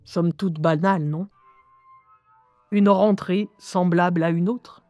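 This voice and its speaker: A woman playing a lively young schoolgirl who narrates her life in a wry, confiding, expressive way in an audio drama. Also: on the low side, slow, muffled recording, soft background music. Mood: confiding narration. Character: lively eleven-year-old schoolgirl recounting life with inner voices.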